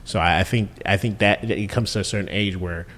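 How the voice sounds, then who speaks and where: A man co-hosting a casual podcast chat in a fairly low voice. He rambles, repeats words and fills pauses, and he gets animated when he puts questions to his co-host. The room around him carries a long reverb. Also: a little hiss or hum.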